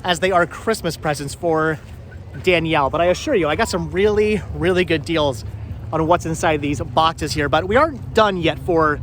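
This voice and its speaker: A middle-aged man hosting a casual thrifting vlog, chatting haltingly and often repeating his words. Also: a little high, a bit bright, a little hiss or hum. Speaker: vlog host. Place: out and about at flea market.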